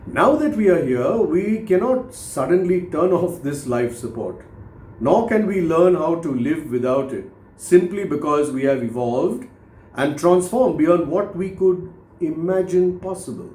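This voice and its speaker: A man in his fifties reading his own book aloud as scripted motivational narration, with measured, deliberate, oratorical phrasing. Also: on the high side, expressive, a little mellow. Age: 50s. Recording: roomy, echoing voice recording.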